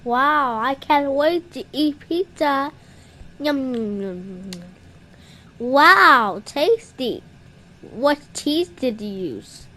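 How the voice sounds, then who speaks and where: A woman improvising playful puppet show dialogue in an excitable, exclamatory way. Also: sits high, drawn-out words.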